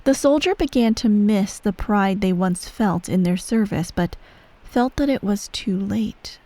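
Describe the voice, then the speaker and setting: A cold and manipulative empress , acted by a woman, holds court at a child's bedside in an ASMR roleplay. She speaks in measured, condescending phrases at a middling feminine pitch, often sinking toward a breathy whisper. The sound is dry and intimate, right up at the microphone. Every phrase carries the weight of a stern parent who expects to be obeyed. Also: warm tone, no echo, natural voice. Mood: grave, ominous. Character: cold, manipulative female ruler of an evil empire, stern parent. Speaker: voice actor in a roleplay audio.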